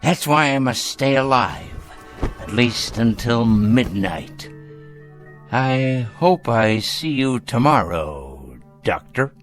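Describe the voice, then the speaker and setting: A man's voice: mid-range voice, sing-song, slow pace, music in the background. An actor playing an elderly, dying rich man in a radio drama, cantankerous and sardonic, with florid speech. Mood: defiant.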